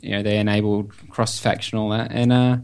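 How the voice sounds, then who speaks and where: A man's voice: on the low side, flat delivery, a little fast, gravelly, darkish tone, clear, resonant tone. A man with an Australian accent, talking casually as a guest on a podcast. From Perth, Western Australia. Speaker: podcast guest, website developer. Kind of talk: casual interview chat.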